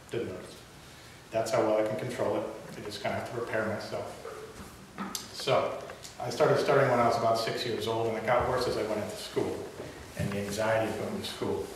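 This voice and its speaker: A young man giving a prepared speech to a club audience, with occasional stutters and restarts. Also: mid-range voice. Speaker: club speaker. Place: meeting room. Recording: echoey room from far off, hiss.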